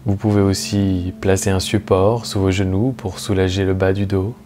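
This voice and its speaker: A man guides a relaxation session as a meditation teacher, speaking slowly and calmly, with soothing, measured phrasing and long pauses. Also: deep voice. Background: soft plucked guitar music.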